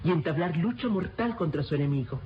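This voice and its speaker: A man's voice: on the high side, lively intonation, a little fast, slight background noise, lightly acted. A man playing a grave, foreboding adviser in a radio serial, speaking in an ominous, declamatory way. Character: grave, foreboding adviser warning of evil powers. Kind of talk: dramatic radio serial acting. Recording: dull lo-fi radio, music behind.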